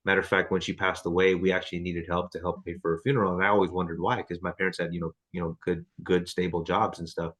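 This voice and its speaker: A man's voice: low voice, flat delivery, quick pace, hardly any pauses, a bit bright. A man in his thirties leading a team training over a video call, loose and chatty with lots of fillers and restarts. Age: 30s. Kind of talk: casual video call chat.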